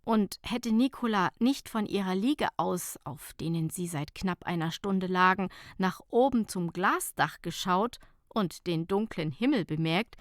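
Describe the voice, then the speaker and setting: Slow-paced woman reading an audiobook. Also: mid-range voice, dry recording, studio quality. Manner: measured, clearly phrased storytelling. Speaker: audiobook narrator. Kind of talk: scripted narration of a humorous short story.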